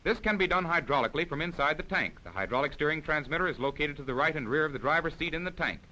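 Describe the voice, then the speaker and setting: A man's voice: a little high, lively intonation, fast talker, sharp tone, poor audio. A man reading scripted narration for a 1940s military instructional film in a formal, precise, explanatory manner.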